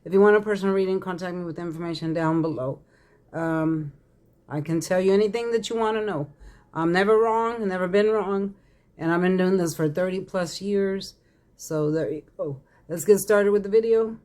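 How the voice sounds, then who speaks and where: A raspy-voiced woman, chatting casually to viewers as a tarot reader, congested from a head cold. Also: on the low side, normal speed, a little mellow. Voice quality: raspy, crackly voice. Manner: chatty, addresses viewers as y'all. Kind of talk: casual psychic reading vlog.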